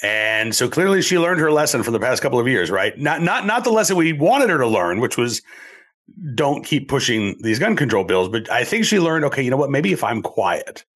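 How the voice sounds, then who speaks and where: A male talk show host who sounds scratchy and stuffed up from allergies, talking in animated bursts with many fillers. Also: mid-range voice, brisk, breathy edge. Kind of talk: conversational political talk show.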